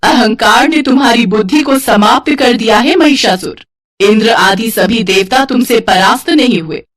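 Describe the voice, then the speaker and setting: A woman's voice: a little high, normal speed, recorded from a distance, lightly acted. A woman voicing a fierce, righteous warrior goddess in a mythological cartoon story, commanding and solemn. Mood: scornful. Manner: commanding, solemn, theatrical proclamations.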